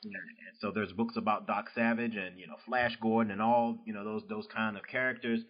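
A man with a Southern US drawl hosts a relaxed interview, his voice sitting a little low. He talks loosely, stringing thoughts together with fillers and easy laughs. The recording is dull and lo-fi. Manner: loose and chatty, many "you know" fillers, quick laughs. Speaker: podcast host interviewing an author. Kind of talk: casual interview chat. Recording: dull lo-fi sound.

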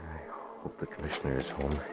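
A man's voice: rumbling low, level tone, quick pace, music in the background. A man playing a resolute, commanding American masked crime fighter in a classic radio drama, speaking tersely. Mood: urgent, resolute. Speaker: lead actor in a radio drama. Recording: muffled old radio, faint background noise.

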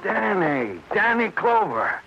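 An actor playing a seedy, self-mocking private investigator, ingratiating and halting, in 1950s radio noir style. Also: male voice, sits high, very animated, heavily acted. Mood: nervously jovial. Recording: muffled, noisy old radio recording.

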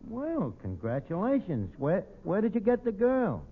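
Cocky New tavern manager. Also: male voice, high voice, huge pitch swings, a little slow, heavily acted. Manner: mock-pompous, stumbling, slangy, cocky. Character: brash, malaprop-prone New York tavern manager. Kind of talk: 1940s radio comedy acting. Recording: noisy, phone-like old radio recording.

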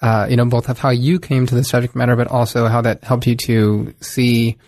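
A man hosting an academic book podcast, reading a formal introduction and then asking questions in a measured way. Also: mid-range voice, little pitch movement, darkish tone, no echo. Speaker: podcast host. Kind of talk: scripted introduction and interview questions.

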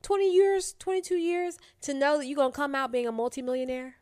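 A woman's voice: sits high, steady tone, fairly quick, darkish tone, dry recording, clean studio sound. A woman in her late twenties co-hosting a true-crime podcast, chatting casually in emphatic slang and repeating words for emphasis. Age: late 20s. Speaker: podcast co-host.

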